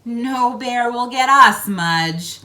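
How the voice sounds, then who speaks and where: A woman reading the part of an excited young boy in a storybook, eager to go camping. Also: fairly high pitch, slow, voice pushed up. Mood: reassuring.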